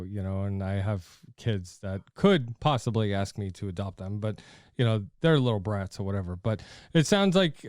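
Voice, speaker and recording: A man's voice: fairly low pitch, darkish tone, clear, resonant tone, no room sound. Casting: male, middle-aged podcast host, clean resonant voice, dry close sound, friendly and giggly in casual conversation. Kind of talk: casual phone chat.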